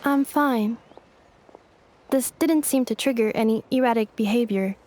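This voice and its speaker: A woman voicing a polite android maid in a video game, speaking in a calm, measured and formal way. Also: a little high, a little hiss or hum, close to an everyday voice. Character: polite android maid unsure of her origins.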